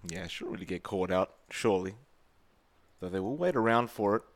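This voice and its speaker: A man with an Australian accent, casting a competitive game match with brisk play-by-play and playful teasing of his co-caster. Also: low voice. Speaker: esports caster.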